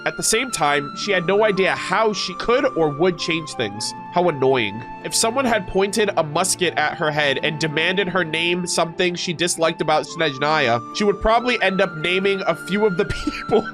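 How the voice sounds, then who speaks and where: Male gaming streamer, high-pitched, informal and slangy, reading and reacting over clear background music. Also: moderate pace, hardly any pauses. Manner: informal, slangy, animated asides. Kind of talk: casual reaction commentary mixed with reading aloud. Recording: music playing behind the voice.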